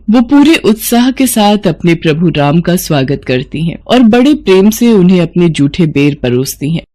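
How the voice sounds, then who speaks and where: A woman's voice: fairly low pitch, darkish tone. A woman narrating a scripted devotional story.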